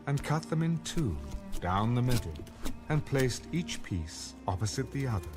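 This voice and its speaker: A man narrating a dramatized Bible reading in a measured, solemn storytelling manner. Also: medium pitch, sing-song. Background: music.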